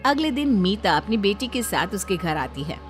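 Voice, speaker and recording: A woman's voice: mid-range voice, very animated, normal speed, faint music behind. A woman narrator reading a scripted moral story.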